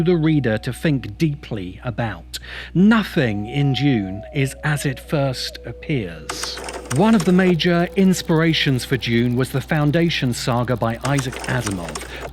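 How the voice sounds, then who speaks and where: A man narrating a scripted video essay in a measured, emphatic way, as its host. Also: on the high side. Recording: music behind the voice. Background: background music.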